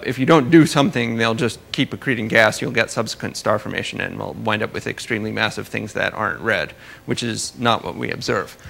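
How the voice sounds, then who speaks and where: A man giving an academic conference talk as a researcher, halting and rephrasing as he explains his slides. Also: mid-range voice, creaky, sharp tone. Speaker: astrophysics researcher presenting at a conference.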